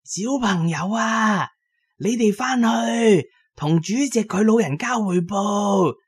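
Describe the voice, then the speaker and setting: Raspy, slow-bellowing deaf old village official. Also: medium pitch, voice pushed up. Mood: fervent shouting. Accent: Cantonese. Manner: slow, loud bellowing of someone hard of hearing. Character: deaf, muddled old village party secretary, male, revolutionary zealot.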